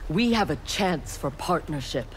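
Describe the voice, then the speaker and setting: A woman's voice: low voice, expressive, unhurried, over music, low-quality recording. An actress voicing a cold, haughty armored female ninja cyborg in video game banter. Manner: haughty, menacing.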